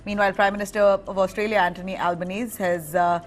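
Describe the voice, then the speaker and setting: A woman with an Indian accent reading a live news bulletin as anchor, in a clear, firm delivery. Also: mid-range voice, fairly even intonation, fast, soft background music.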